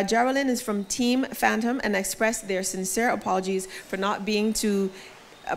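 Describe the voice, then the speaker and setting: A woman hosting an awards ceremony, announcing categories and nominees in a polished emcee manner with light self-deprecating asides. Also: medium pitch. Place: event venue.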